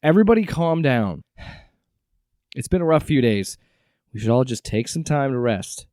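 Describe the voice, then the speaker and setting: A man's voice: medium pitch, expressive, normal speed, darkish tone, no room sound, clean studio sound, close to an everyday voice. A man playing a weary, sarcastic agency director in an audio drama, with a casual, exasperated manner.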